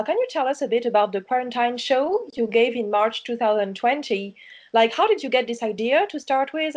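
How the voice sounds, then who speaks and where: A woman interviewer whose first language is French, asking questions hesitantly in English. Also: a little high.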